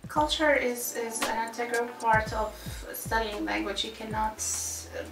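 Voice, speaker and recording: A woman's voice: fairly high pitch, fairly even intonation, measured pace, vocal fry. Woman, middle-aged, Arabic speaker, hesitant and thoughtful, recorded a bit far off in a roomy office. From Egypt. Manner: thoughtful, many fillers and restarts. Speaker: Arabic teacher. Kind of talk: interview answers. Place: office with posters, indoors. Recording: roomy, distant, music behind.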